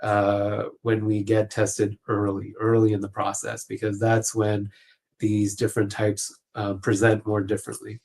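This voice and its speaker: A low-voiced man speaks as a clinician being interviewed. He explains in an informal, halting way, leaning on little filler phrases as he feels his way through each point. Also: monotone, darkish tone, slight background noise. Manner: halting, informal, peppered with "you know" and "like". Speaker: clinician guest. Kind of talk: conversational expert explanation.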